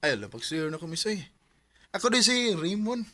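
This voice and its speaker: A man playing a hesitant young man courting a woman in a radio drama, gentle and laughing nervously. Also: high voice, big pitch swings, normal speed, no echo, exaggerated voice.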